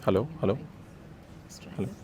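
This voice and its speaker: A man's voice: normal speed, a loud crowd. A young man introducing himself on a panel, talking with many hesitant fillers. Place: panel event in a hall. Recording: echoey hall, faint ambience.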